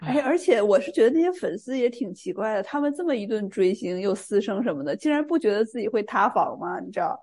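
A woman's voice: medium pitch, lively intonation, fast, few pauses, darkish tone. A woman co-hosting a podcast, chatting casually with friends. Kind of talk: casual chat.

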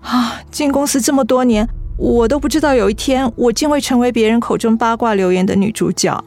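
Actress as hurt, high-voiced career woman. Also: brisk, a little mellow, soft background music, lightly acted. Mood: hurt, bewildered. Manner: earnest, wounded delivery. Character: wronged, earnest female career woman, hurt and dignified. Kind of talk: radio drama acting.

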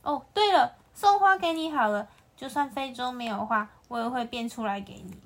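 A woman's voice: a little high, moderate pace, a bit bright, slight background noise. A woman voicing a lion in a children's story, pleading and bargaining in a sing-song, coaxing way. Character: pleading lion, sly then sorry.

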